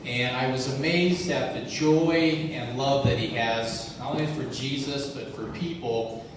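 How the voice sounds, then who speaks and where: A man introducing a guest speaker in a church with a measured, earnest manner. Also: medium pitch. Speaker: ministry partner introducing guest. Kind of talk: church interview. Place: church sanctuary. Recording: echoey hall from far off with noise.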